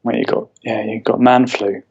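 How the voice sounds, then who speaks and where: Easygoing co-host, muffled lo-fi sound. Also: male voice, medium pitch, flat delivery, moderate pace, vocal fry, a little mellow, breathy edge. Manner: easygoing, wry, short replies. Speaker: podcast co-host. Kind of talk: casual chat.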